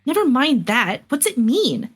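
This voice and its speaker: A woman's voice: high voice, big pitch swings, unhurried, husky, soft background music, exaggerated voice. A woman in an amateur anime fan dub playing a bossy, impatient teenage girl.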